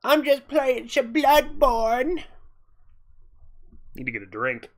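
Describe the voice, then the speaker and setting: Slow-talking stuffy game streamer man. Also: very high-pitched, huge pitch swings. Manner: rambling, grumbly, swears casually, talks to chat. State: stuffy from a cold. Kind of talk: casual live-stream chat while playing.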